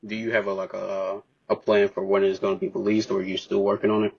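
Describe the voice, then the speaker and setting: A man hosting a casual podcast interview, asking questions in a halting way with pauses. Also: on the low side, completely flat delivery, gravelly, breathy edge, dull audio.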